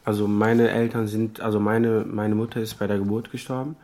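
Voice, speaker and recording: A man speaks in a low voice with little melodic movement. He tells things off the cuff, often repeating a phrase before moving on. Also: little pitch movement, a little fast, darkish tone. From Africa. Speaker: asylum seeker retelling stories. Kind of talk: unscripted personal storytelling.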